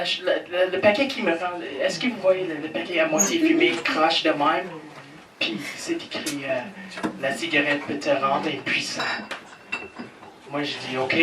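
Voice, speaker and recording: A male stand-up comedian whose first language is English, teasing the crowd with crude, swearing banter and admitting he is nervous. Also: a little high. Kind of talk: stand-up set in a comedy club. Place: comedy club stage. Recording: echoey club from far off, noisy.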